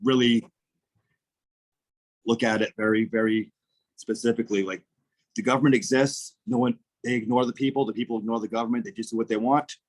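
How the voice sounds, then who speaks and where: A man's voice: mid-range voice, fairly even intonation, a little fast, long pauses, rough recording. A man with a South Shore Massachusetts accent, telling stories as a podcast guest and acting out the voices of people he quotes. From southern Massachusetts, USA. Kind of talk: casual storytelling interview.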